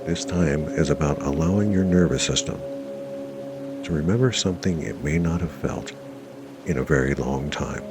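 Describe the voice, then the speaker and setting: A man narrating a guided meditation in a measured, reassuring way, leaving long pauses between short phrases. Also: rumbling low. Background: music.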